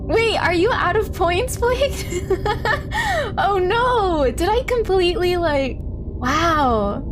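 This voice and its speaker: A woman hosting a live stream, chatting casually with viewers in an excitable, giggly, exclaiming way. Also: very high-pitched, noisy background. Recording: background music behind voice. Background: music.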